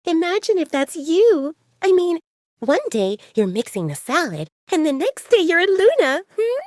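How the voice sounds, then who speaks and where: A woman performs a boy-crazy kitchen girl of twenty in an audio drama. She is playful and sing-song, pitched well up and very animated, in a close, dry sound. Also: normal speed, no room sound, heavily acted. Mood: excited, gushing. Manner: teasing, gushing, sing-song. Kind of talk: audio drama character acting.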